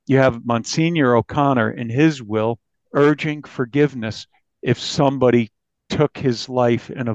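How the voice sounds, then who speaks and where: An American man with a gravelly, creaky voice of middling pitch talks as an interviewed reporter. He recounts things conversationally, and the sound is dry and a bit dull. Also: dry recording. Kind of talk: conversational recounting of a crime story.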